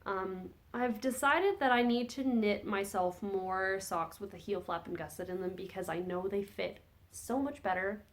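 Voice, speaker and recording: A woman talks in a loose, rambling, chatty way, often stopping to correct herself. Her voice sits at an ordinary middle pitch and sounds a little phlegmy, as she is still getting over a cold. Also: normal speed, hardly any pauses. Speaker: podcast host. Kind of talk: casual chat about knitting projects.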